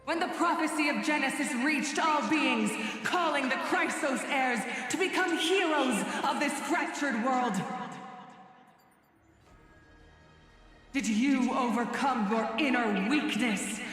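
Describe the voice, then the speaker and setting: A woman's voice: high-pitched, unhurried, few pauses, a bit bright. A woman playing a haughty goddess in a video game, imperious and grandiose as she judges mortals. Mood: accusing. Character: haughty, judging goddess who disdains mortals. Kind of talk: dramatic video game voice acting. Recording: very echoey vast hall, music behind. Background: music.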